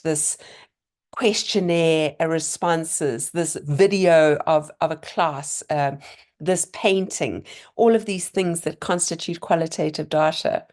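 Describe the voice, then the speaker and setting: A middle-aged woman, a little hoarse, giving an online seminar in a chatty, encouraging way over a video call. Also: lowish voice, a little mellow. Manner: chatty, encouraging, thinking aloud with fillers and restarts. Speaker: lecturer presenting a webinar.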